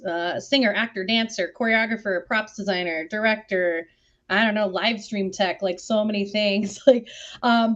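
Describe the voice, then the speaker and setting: A woman's voice: medium pitch, fairly bright tone. Woman from Southern California, informal enthusiastic panelist, mid-range voice, dull lo-fi call sound. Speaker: panel guest, performer and producer. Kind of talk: casual chat on an online panel.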